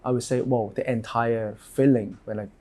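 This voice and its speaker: A man in his thirties from Hong Kong with a Hong Kong English accent, an architect answering interview questions in a halting, thinking-aloud way. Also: medium pitch, fairly even intonation, warm tone.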